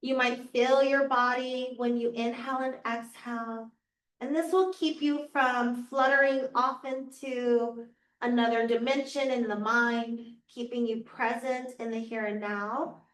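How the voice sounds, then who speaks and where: A woman yoga teacher guiding a class through slow, soothing cues, her voice a little raspy from losing it. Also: fairly high pitch, steady tone, a bit bright. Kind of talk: guided yoga class instruction. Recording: echoey room, voice from far off.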